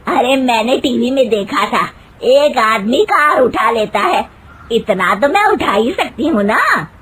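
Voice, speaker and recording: A woman's voice: a little high, animated, takes it slowly, crowd noise. An actress voicing a boastful, star-struck mother-in-law in a comic cartoon story, with a melodramatic, emphatic delivery. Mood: stubborn, bragging. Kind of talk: Hindi comic moral-story cartoon dub.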